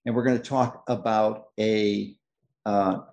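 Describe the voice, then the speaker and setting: A middle-aged man hosting a health interview, speaking emphatically and confidently, with restarts and slowed stressed phrases. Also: lowish voice, fairly even intonation, gravelly, a little mellow. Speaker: host. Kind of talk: interview host, long introduction.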